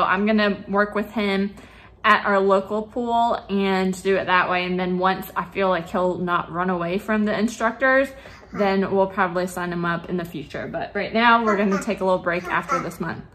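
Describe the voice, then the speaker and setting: A woman's voice: medium pitch, normal speed. A young woman casually chatting about her day while filming herself on a handheld phone at home. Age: young adult. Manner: chatty, upbeat, relaxed. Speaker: vlogger. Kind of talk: casual day-in-the-life narration. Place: home interior and car. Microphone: phone camera, handheld selfie.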